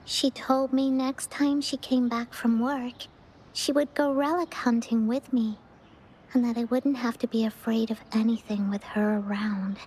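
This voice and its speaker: A woman's voice: fairly high pitch, fairly even intonation, a little slow, darkish tone, slight background noise, natural voice, poor audio. A woman voicing a hopeful but sad little girl in a video game, speaking in a hesitant, wistful way. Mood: wistful, earnest.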